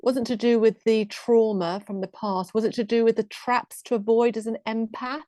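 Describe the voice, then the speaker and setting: Female host, mid-pitched, eager and affirming, dry close sound on a video call. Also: fairly even intonation, normal speed, mellow, dry recording. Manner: eager, affirming, frequent "yes" and "yeah". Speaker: interview host. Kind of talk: casual interview questions.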